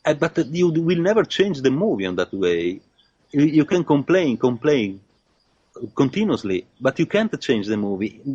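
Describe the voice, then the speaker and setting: A man speaking as a guest in a reflective conversation, halting often with fillers and restarts. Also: medium pitch, darkish tone, breathy edge, no echo. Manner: hesitant, many fillers and restarts. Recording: dull lo-fi dry sound.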